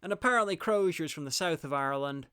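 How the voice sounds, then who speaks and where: A man's voice: a little high, expressive, quick pace, pushed and bright, soft background music. A man narrating a scripted review in a wry manner.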